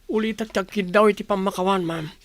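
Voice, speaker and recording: A man's voice: sits high, fairly even intonation, fairly quick, dry recording, studio quality, lightly acted. A man with an Ilocano accent playing a contrite wrongdoer begging forgiveness in a radio drama. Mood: remorseful.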